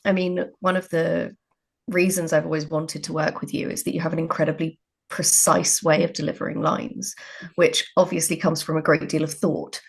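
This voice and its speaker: A woman's voice: low-pitched, level tone, normal speed, creaky, breathy edge. A woman who works as a writer, talking casually as a co-interviewer in a conversation.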